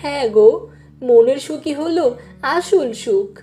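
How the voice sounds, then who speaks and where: A woman playing an affectionate village woman in a cartoon story, gently teasing as she talks. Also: sits high, expressive, takes it slowly, faint music behind. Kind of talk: Bengali cartoon dialogue.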